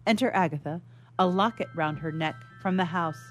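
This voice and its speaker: A woman's voice: lowish voice, normal speed, soft background music. A woman narrator reading scripted stage directions for a radio drama.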